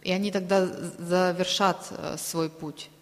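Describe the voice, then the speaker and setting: A woman interpreting a sermon aloud, delivering it phrase by phrase in a halting way. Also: on the low side, steady tone, a little hiss or hum. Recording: long reverberant hall sound.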